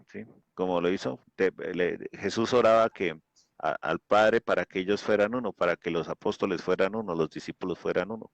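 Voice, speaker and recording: Low Colombian man's voice, dry. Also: no echo. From Colombia, Boyacá. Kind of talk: extemporaneous religious debate introduction.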